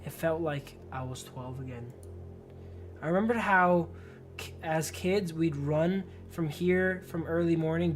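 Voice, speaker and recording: A man livestreaming a game, reading its story text aloud and chatting in slang, stumbling over long words. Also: a little high. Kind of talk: narrating game text, casual chat with viewers.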